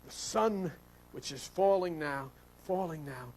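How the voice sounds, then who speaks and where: A man giving an animated esoteric religious lecture, speaking emphatically with repetition and rhetorical questions. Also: a little high, slow, slight background noise. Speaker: spiritual lecturer.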